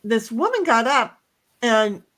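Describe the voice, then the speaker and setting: A middle-aged woman with a loud voice and a fairly high pitch tells a story in a casual chat. Her manner is blunt and animated, with swearing mixed in. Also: slow. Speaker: co-host.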